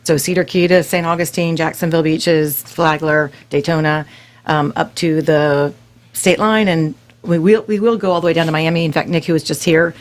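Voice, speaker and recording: A woman's voice: low voice, steady tone, normal speed. A woman from Florida, a real estate broker, explaining her company as a radio guest in a composed, businesslike way. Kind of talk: radio interview.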